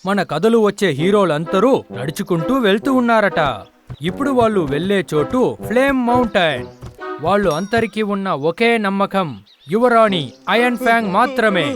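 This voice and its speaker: A man's voice: high voice, moderate pace, over music, natural voice. A man narrating a children's cartoon story as the announcer of a stage play. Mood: storytelling.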